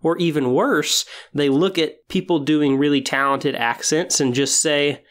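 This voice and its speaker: A man hosting a podcast monologue, explaining and giving tips in a measured way with emphatic phrasing. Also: a little high, steady tone, a bit bright. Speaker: podcast host.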